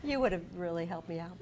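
A middle-aged woman with an American accent, interviewing conversationally in a TV studio with effusive, encouraging reactions. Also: on the low side. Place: TV studio, indoors at a desk. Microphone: lapel mic.

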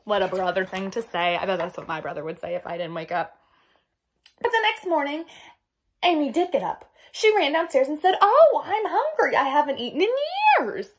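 A woman's voice: medium pitch, animated, brisk. A young woman reads a children's picture book aloud expressively and emphatically, with chatty asides. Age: young adult. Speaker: read-aloud storyteller. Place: small indoor room at home.

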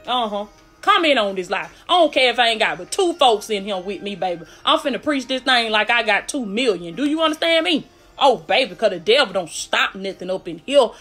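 High-voiced Southern US woman preaching fervently. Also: animated, a little fast, fairly bright tone, faint music behind. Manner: emphatic, exhortative, repeating catchphrases and refrains; hyped, fired-up delivery. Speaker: preacher hosting a livestream. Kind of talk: fervent livestream sermon.

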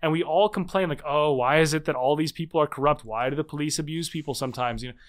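A man in his thirties, a book author, explaining his ideas in a podcast interview with lively restarts. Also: on the high side. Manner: animated, fast explaining with restarts. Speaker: guest author.

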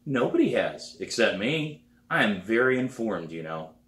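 A man's voice: lowish voice, big pitch swings, moderate pace, fairly bright tone, recorded from a distance. A man voicing an eager, boastful young girl drummer in a storybook reading, pleading and insistent. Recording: roomy, echoey living room.

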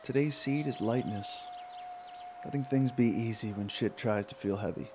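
A man leading a guided meditation in an informal, soothing way, with unhurried phrases and long pauses. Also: medium pitch, noisy background. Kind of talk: guided meditation, yoga nidra body scan.

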